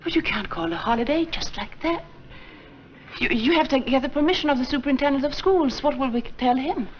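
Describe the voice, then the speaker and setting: An actress playing an earnest, gently formal nun who runs a school, in a 1940s film. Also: female voice, sits high, animated, a little fast, a little hiss or hum. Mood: worried. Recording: dull lo-fi 1940s film soundtrack.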